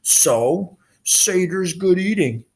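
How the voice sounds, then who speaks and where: Man doing a bellowing, slow-roaring old Cyclops. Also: high voice, animated, darkish tone, breathy edge, voice pushed up, heavily acted. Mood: furious. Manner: broken grammar, drawn-out roaring words. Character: savage wounded old male Cyclops monster, bellowing and crude.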